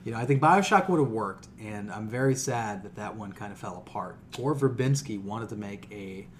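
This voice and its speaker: A man's voice: on the low side, expressive, moderate pace, slight background noise. Exasperated, sweary man in casual discussion. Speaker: podcast co-host.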